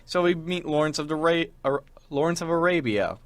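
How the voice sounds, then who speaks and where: A man's voice: on the high side, animated, a little fast, no echo. A man hosting a show with casual, rambling chat about games and internet culture, acting out imagined quotes as he goes. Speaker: show host. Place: small radio studio.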